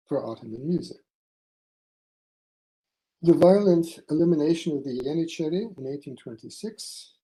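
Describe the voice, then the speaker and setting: A man delivering a formal academic conference paper from a prepared text, in measured, deliberate phrases. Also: fairly high pitch, mellow, low-quality recording. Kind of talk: formal scholarly lecture read from a prepared text.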